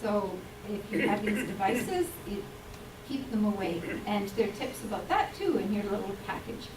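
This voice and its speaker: A woman giving an earnest, explanatory public health talk, with frequent pauses and ums. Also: medium pitch, animated, noisy background. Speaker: health speaker. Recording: roomy hall mic from a distance.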